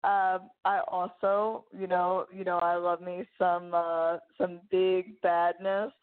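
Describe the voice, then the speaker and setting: A woman's voice: on the low side, little pitch movement, slow. A woman co-hosting a casual chat show, talking in a rambling, informal and mildly profane way. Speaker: podcast co-host. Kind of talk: casual chat about film actors. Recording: thin, phone-like band-limited audio.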